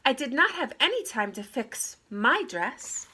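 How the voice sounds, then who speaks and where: A woman voicing a sweet, hopeful, put-upon young heroine in a children's storybook, earnest and expressive. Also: sits high, measured pace, breathy edge, voice pushed up, exaggerated voice. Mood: dejected.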